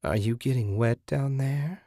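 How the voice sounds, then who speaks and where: A man voicing a menacing, sanctimonious, depraved woman in an audiobook, with a raspy, taunting delivery. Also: mid-range voice, mellow, no room sound, studio quality, pitched above the performer's own voice. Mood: leering. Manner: taunting, sinister, drawn-out threats. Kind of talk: dramatic audiobook character voice.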